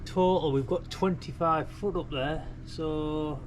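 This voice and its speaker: A man's voice: fairly high pitch, slow pace. Laid-back man, noisy outdoor recording. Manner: rambling, laid-back, colloquial, thinking aloud. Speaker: amateur radio hobbyist filming a DIY video. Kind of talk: casual chat while demonstrating DIY. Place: outdoors beside a brick house wall.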